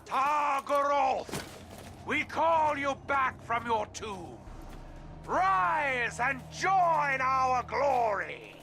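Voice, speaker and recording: Fanatical undead orc necromancer, video game villain, slow pompous delivery, swooping pitch, snarling. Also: male voice, sing-song, a little hiss or hum, exaggerated voice. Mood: commanding, fanatical. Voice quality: gravelly, theatrical snarl. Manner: pompous, drawn-out proclamations. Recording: loud music underneath.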